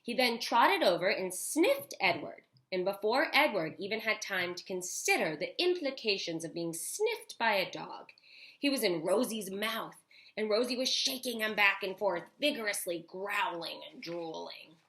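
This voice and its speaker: A woman teacher reading a storybook aloud to children, expressive, with chatty asides. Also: medium pitch, moderate pace. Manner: animated, with chatty asides to young listeners.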